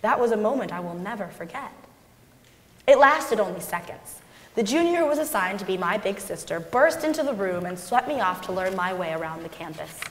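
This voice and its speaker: A young woman giving a measured, expressive dramatic reading of a memoir on stage. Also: medium pitch. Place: large indoor concert hall stage. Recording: echoey concert hall stage recording.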